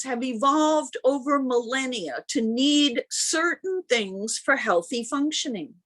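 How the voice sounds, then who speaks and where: A woman minister giving a sermon in deliberate, emphatic phrases with pauses, speaking over a video call. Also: a little high, fairly bright tone. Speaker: minister preaching.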